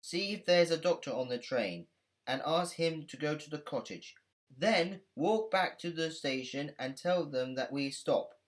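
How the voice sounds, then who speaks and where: A woman voicing a hearty, practical male train driver in a children's storybook, brisk and plain-spoken. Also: sits low, animated, voice pushed up, low-quality recording. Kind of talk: children's storybook read-aloud.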